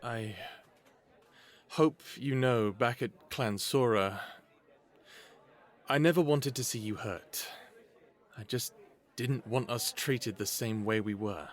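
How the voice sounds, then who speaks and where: Man acting as earnest idealist, audio drama, medium-pitched male voice, unhurried delivery, halting, persuasive. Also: natural voice. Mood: apologetic. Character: earnest, idealistic young man, former captive urging peaceful change. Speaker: lead character and narrator. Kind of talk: audio drama acting with first-person narration.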